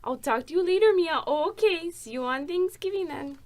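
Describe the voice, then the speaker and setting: A woman's voice: very high-pitched, lively intonation, a little fast. A woman acting a fussy, nosy, sweetly bossy mother in a student radio drama. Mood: cheery.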